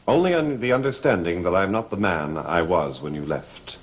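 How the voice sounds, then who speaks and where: An actor playing a grieving, stern master of a gothic mansion with formal, clipped, cold delivery in a melodramatic soap opera. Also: male voice, low voice, expressive, normal speed. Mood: cold, guarded. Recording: old TV soundtrack with loud orchestral music.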